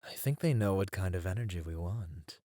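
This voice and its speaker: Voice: low voice, expressive, moderate pace, mellow, dry recording, clean studio sound. A performer voicing a playful, smugly flirtatious demon in an ASMR roleplay, with a drawling, theatrical, teasing delivery. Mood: seductive, hungry.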